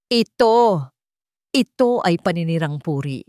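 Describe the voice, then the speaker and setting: A woman's voice: fairly low pitch, animated, slow pace, warm tone, dry recording. A woman narrator plays a scheming corporate villain in an audio story, a man full of pompous, false solemnity. He addresses the room formally and turns defiant when challenged. The sound is close and dry. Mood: defiant, desperate. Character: scheming male corporate rival, pompous, then desperate.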